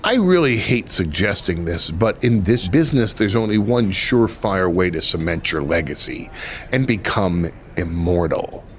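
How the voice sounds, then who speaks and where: An actor playing a sly, grandiose retired wrestling manager in a video game, with ominous, theatrical delivery and deliberate dramatic pauses. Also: male voice, low voice, expressive, slight background noise. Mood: grave, ominous. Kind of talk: video game cutscene dialogue.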